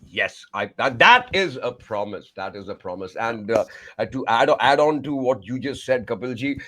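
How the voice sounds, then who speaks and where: A man with an Indian accent, Tamil by first language, telling his life story as a communication coach in an animated, effusive way with frequent laughter. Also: medium pitch, normal speed. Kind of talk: remote podcast interview.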